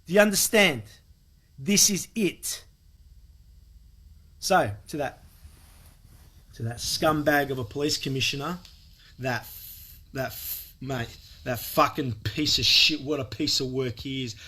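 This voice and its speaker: A man's voice: medium pitch, takes it slowly, pauses often, pushed and bright, breathy edge. An Australian man delivering an angry, emphatic political rant with heavy swearing. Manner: vehement, emphatic, profane.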